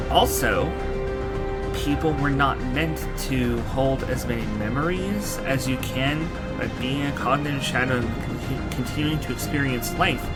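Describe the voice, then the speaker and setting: A man giving casual, rambling let's play commentary, halting and repeating himself as he searches for words. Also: medium pitch. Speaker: game streamer. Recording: music behind the voice. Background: game music.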